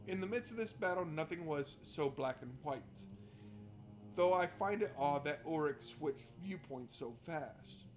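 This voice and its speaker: A man's voice: a little high, lively intonation, unhurried. A man doing casual, sweary let's-play commentary, talking to himself and reading game text aloud. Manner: informal, sweary, talks to himself. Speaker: game streamer. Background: game music.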